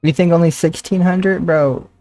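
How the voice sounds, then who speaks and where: A man's voice: a little high, fairly even intonation, normal speed, dark tone, no room sound. A man doing casual, slangy live stream commentary over a game, with joking complaints.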